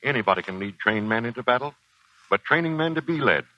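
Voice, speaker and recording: A man's voice: medium pitch, expressive, fast talker, sharp tone, no room sound. A man playing a firm but sympathetic colonel in a 1940s radio drama, speaking with clipped authority. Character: firm, sympathetic male Marine colonel, past his youth. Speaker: actor. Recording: dull 1940s radio recording.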